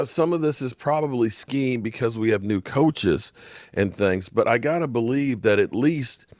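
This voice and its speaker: A man giving opinionated sports commentary in an emphatic, frustrated rant, his voice hoarse and fading. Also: fairly high pitch, no room sound, studio quality. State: hoarse, coughing.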